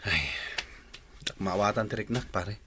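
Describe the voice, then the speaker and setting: A man's voice: lowish voice, unhurried, sharp tone, slight background noise. A man playing a young playboy ranch owner in a radio comedy drama, talking in a casual, wavering way.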